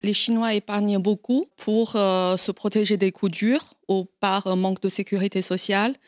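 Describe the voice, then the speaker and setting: A woman who grew up in China speaks steadily on a panel, pausing on fillers now and then. Her voice is plain and mid-pitched. The recording is dry and close. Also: fairly even intonation, dry recording. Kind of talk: live panel discussion.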